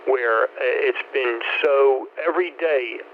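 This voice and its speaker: A man in his seventies with a medium-pitched voice talks casually over two-way radio. He is courteous and rambles appreciatively as he hosts the exchange. The signal is narrow and phone-like, with light noise. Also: takes it slowly. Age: 70s. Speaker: amateur radio net host. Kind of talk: casual ham radio chat. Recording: noisy, narrow-band ham radio transmission.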